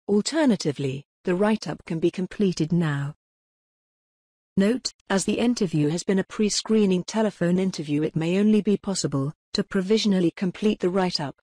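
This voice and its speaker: Low-pitched woman narrating step-by-step instructions. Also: mellow, no room sound, studio quality. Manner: measured, impersonal step-by-step delivery. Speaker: software tutorial narrator.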